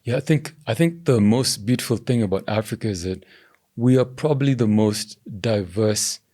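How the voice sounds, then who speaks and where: A young man from Zambia, a governance strategist giving measured interview answers that he often hedges with "I think.". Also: on the low side, dark tone. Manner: measured, hedging with "I think" and "you know". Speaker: podcast guest, governance strategist.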